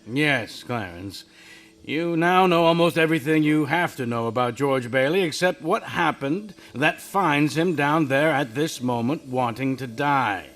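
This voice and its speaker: An actor playing a patient heavenly narrator who recounts the story in a radio play. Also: male voice, on the high side, expressive, measured pace, a bit bright. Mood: storytelling. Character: calm heavenly male narrator, an angel's superior.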